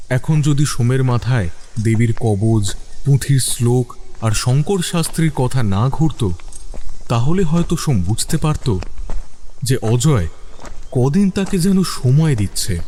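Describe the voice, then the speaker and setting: A man's voice: medium pitch, animated, measured pace, pauses often, warm tone, a little hiss or hum. A man narrating a scripted mystery story in a dramatic storytelling style.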